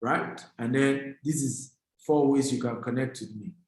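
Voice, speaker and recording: A man's voice: medium pitch, fairly even intonation, a little slow, a little mellow. A man with a Nigerian accent giving an emphatic motivational business talk, exhorting his online audience and repeating key phrases. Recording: very echoey, reverberant room.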